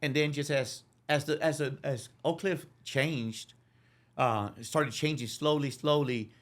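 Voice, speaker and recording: A middle-aged man from Dallas, a podcast guest talking casually, with lots of restarts. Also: fairly high pitch. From Dallas, Texas. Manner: fast, repetitive restarts, animated. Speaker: interview guest. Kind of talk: casual conversation.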